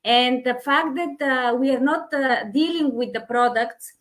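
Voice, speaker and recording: A woman with a Hungarian accent who runs an online business, talking in a halting way with many fillers and restarts. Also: high-pitched, fairly bright tone. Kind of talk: casual conversation.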